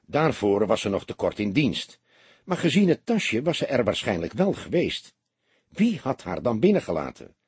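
A man narrating a literary crime story as an audiobook, in an expressive storytelling manner. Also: mid-range voice, normal speed, husky, dry recording.

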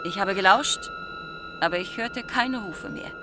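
A woman's voice: medium pitch, background noise, natural voice. A woman playing a menacing, sly horse dealer who taunts and accuses like a blackmailer in a radio drama. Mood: accusing. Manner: slow taunting questions, accusing.

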